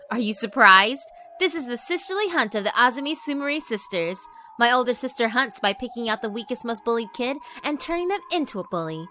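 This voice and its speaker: A woman's voice: mid-range voice, sharp tone. A woman voicing a sinister spirit girl who gloats and mocks as she feeds on bullying. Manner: gloating, mocking, laughs to herself.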